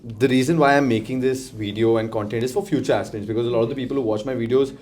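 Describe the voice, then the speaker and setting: Guy hosting a campus interview, quick talker, energetic, middle pitch voice, calls everyone guys. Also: slight background noise. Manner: energetic, addresses viewers as "guys". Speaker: interviewer and video host. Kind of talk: upbeat talk to camera and interview.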